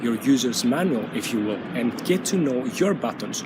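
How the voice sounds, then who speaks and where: A man, hosting his own channel and giving earnest, measured advice with frequent pauses and fillers, recorded outdoors. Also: medium pitch, expressive. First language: Greek. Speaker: channel host, stuttering coach. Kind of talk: motivational talk to camera. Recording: loud outdoor traffic noise.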